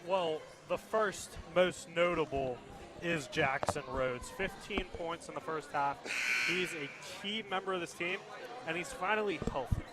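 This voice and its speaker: A man giving measured, analytical color commentary on a live radio basketball broadcast. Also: a little high. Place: indoor basketball game. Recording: crowd noise at a basketball game. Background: crowd and bouncing ball.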